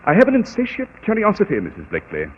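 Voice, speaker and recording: An actor playing a brilliant, pompous detective with precise, dramatic diction in a 1940s radio mystery. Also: male voice, high-pitched, wildly swooping pitch, rapid-fire, exaggerated voice. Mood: assured, formal. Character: brilliant, self-assured, theatrical male private detective. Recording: tinny, noisy old 1940s radio broadcast.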